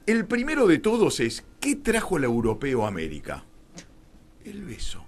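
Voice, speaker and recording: An Argentine man telling a story as a radio interview guest, emphatic, with rhetorical questions and dramatic pauses. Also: on the high side, wildly swooping pitch, husky. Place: radio studio.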